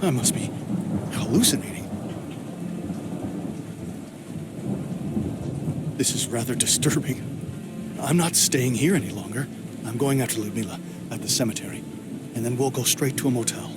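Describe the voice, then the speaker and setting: A man's voice: medium pitch, a little fast, over loud music, lightly acted. Brooding, anxious man in a dramatic game, acted. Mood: disturbed, resolute. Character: distressed adult man searching for his missing sister, anxious, reluctant. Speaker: game protagonist and narrator. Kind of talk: dramatic video game voice acting.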